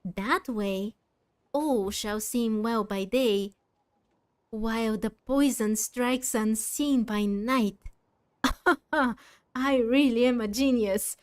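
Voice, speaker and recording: A woman voicing a scheming, self-satisfied villain from a video game note, in a deliberate manner. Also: fairly high pitch. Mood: sly, smug. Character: scheming, smug villain reading out a poison plot.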